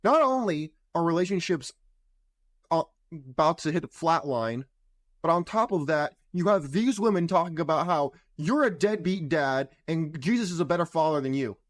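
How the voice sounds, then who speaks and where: A man hosting a podcast, his voice partly gone and hoarse, talking in a provocative, sarcastic, lecturing way. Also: a little high, lively intonation, normal speed. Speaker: podcast host. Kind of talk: opinionated podcast monologue.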